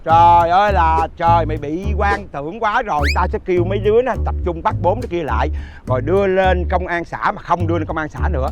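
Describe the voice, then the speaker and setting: A man playing a gruff, bossy middle-aged village uncle who barks orders in a comedy skit. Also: sits high, quick pace, few pauses, music in the background, lightly acted. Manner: barking orders, blustering.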